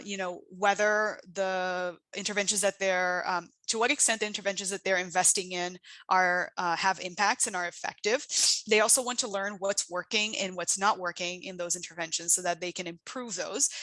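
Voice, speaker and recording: A woman's voice: mid-range voice, sharp tone, no room sound. A woman whose first language is Portuguese, presenting her work as a researcher in a conversational, explanatory way. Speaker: international development researcher, guest speaker. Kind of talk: presentation talk with slides.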